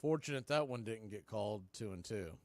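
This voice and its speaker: A man's voice: lowish voice, expressive, brisk, creaky, a little mellow, dry recording. A man giving live baseball play-by-play as announcer and host, speaking in clipped phrases with brief asides.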